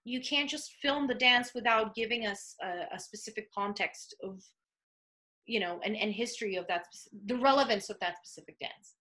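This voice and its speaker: A woman in her thirties from Serbia with a Serbian accent, speaking haltingly with many fillers and restarts in a video call interview from a small home office. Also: medium pitch, bright, forceful tone. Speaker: art commissioner. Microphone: video call webcam.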